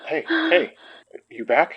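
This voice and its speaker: A man playing a concerned, protective friend in an audio drama, soothing and a little halting. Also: a little high, wildly swooping pitch, slightly rough, dull audio, heavily acted. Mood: anxious, soothing. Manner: soothing, halting when worried.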